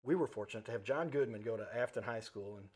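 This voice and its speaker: A man's voice: mid-range voice, quick pace, darkish tone, slightly rough. A middle-aged man hosting a casual interview show, chatty and given to storytelling with asides and quoted dialogue. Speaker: talk show host.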